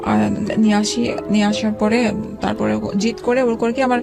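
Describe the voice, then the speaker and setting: A woman in her forties, a guest telling her own life story in an interview, speaking haltingly with many pauses and restarts. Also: mid-range voice, flat delivery, gravelly. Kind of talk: emotional personal account in an interview. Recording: background music under the talk.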